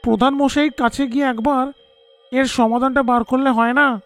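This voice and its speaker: A man playing a worried, earnest younger village man in an animated horror story. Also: very high-pitched, normal speed, faint music behind.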